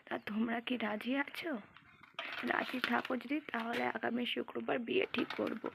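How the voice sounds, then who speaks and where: A woman voicing a hearty, commanding older Bengali mother-in-law in a cartoon story, with a creaky voice. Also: on the high side, fairly even intonation, normal speed. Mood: pleased, demanding. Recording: noisy track with clicks.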